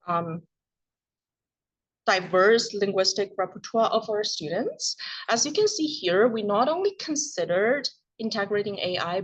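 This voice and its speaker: A woman giving an academic conference presentation in a measured way, with occasional filler sounds. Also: medium pitch, husky. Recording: dull lo-fi recording.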